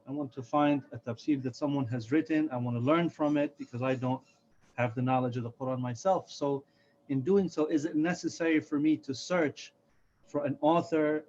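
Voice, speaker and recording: A dull, lo-fi recording with faint noise carries a man's voice as moderator. He is dark and mellow in tone, putting formal questions politely and with careful, deliberate phrasing. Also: mid-range voice. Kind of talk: formal relaying of audience questions. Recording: muffled, noisy lo-fi sound.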